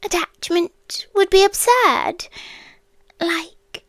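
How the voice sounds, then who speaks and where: A British woman voicing an ancient, lovestruck, obsessive end-of-the-world entity in a hushed, intimate ASMR roleplay, with deliberate pauses, close to the microphone. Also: extremely high pitch, dry recording, exaggerated voice. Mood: wistful, grave. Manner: slow, hushed, deliberate delivery with long pauses. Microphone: close, dry studio microphone.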